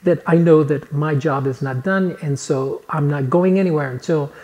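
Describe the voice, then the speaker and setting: A man's voice: a little high, moderate pace, darkish tone. A man in his fifties, born in Chile, telling a personal story in an earnest motivational talk to camera, recorded on a lapel mic in a living room. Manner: earnest storytelling, gestures with hands. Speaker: personal coach. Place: living room, on a couch indoors.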